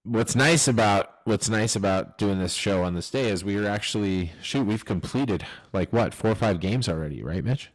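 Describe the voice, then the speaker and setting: A man co-hosting a casual sports podcast, loud and joking with hype-man energy. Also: fairly low pitch, normal speed, hardly any pauses, low-quality recording. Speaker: podcast co-host. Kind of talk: casual chat.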